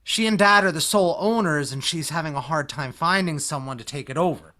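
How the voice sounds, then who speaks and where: A man's voice: fairly high pitch, a little fast, bright, forceful tone. A man playing an idealistic, noncommittal young man, casual and hedging, in a musical theatre read-through. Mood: explaining, sheepish. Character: Bobby, idealistic young man, evasive about commitment. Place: recorded remotely online.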